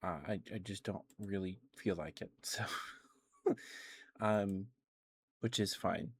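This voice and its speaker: A man chatting casually as a streamer on an ASMR livestream, thinking aloud in a hesitant, halting way. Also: sits low, steady tone, breathy edge, no room sound.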